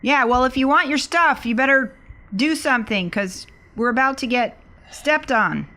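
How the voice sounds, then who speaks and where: A woman's voice: sits high, moderate pace, bright, forceful tone. A woman playing a tabletop game, speaking casually in character in an insistent, plain-spoken way.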